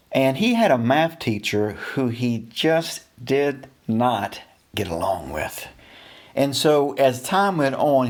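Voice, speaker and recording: A middle-aged American man with a folksy, animated manner tells a personal anecdote to listeners. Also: medium pitch. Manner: folksy, animated, acts out dialogue. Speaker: storyteller. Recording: music behind the voice.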